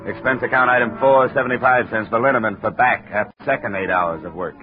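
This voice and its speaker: An actor playing a wry, clipped American insurance investigator in a 1950s radio detective drama, on a vintage radio recording. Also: male voice, fairly low pitch, fast talker, lightly acted. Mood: wry, businesslike. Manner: wry, clipped, matter-of-fact. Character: hardboiled wisecracking male American insurance investigator. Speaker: lead actor narrating as investigator. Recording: tinny vintage radio recording, music behind.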